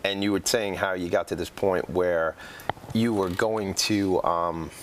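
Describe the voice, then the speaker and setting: A man's voice: deep voice, steady tone, a little slow, a little hiss or hum. A man hosting a casual interview show in a studio, chatty and eager, jumping in with questions. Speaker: talk show host.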